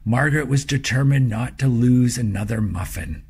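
A man reads a children's story in an expressive, deliberate storytelling manner, with a husky voice. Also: mid-range voice. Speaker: author reading own children's story. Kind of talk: scripted storybook narration for young children.

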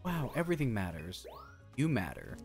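Man, thirties, lively pitch swings, playful slangy gamer chat, music underneath. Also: moderate pace. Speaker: video game streamer. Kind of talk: casual gameplay commentary, chatting with co-host. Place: indoor streaming setup. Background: game music.

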